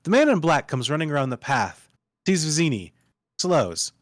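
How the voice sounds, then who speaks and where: A man's voice: fairly high pitch, very animated, normal speed, no echo. Man narrating a script, dry sound. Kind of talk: scripted narration of screenplay stage directions.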